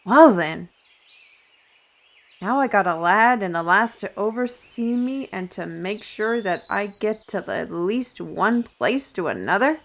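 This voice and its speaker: A woman's voice: medium pitch, expressive, a little slow, creaky. A woman playing a wry, learned artisan steward in a fantasy audio roleplay, talking conversationally and instructively to the listener. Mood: exasperated, resigned.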